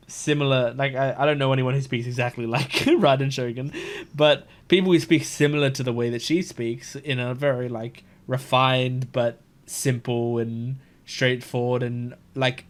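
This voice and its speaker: A man streaming a video game, reacting in a casual, animated, informal way with some swearing. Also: medium pitch. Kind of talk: casual gameplay commentary.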